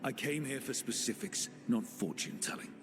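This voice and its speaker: An actor playing a steady, dryly irreverent warden hero in a video game, direct and informal in delivery. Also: male voice, mid-range voice, moderate pace, noisy background, close to an everyday voice. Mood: dry, impatient. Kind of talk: video game cutscene acting.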